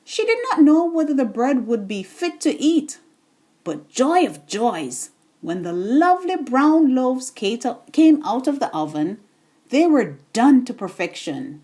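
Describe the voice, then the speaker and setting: A woman reading a children's bedtime story in an expressive, deliberate storytelling manner. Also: sits high.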